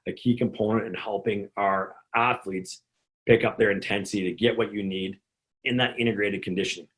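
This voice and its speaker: A man with a gravelly, slightly low voice explains conditioning to fellow coaches. He rambles, doubling up words and restarting sentences as he goes. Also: steady tone, brisk, a bit bright, low-quality recording. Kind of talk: instructional talk to coaches.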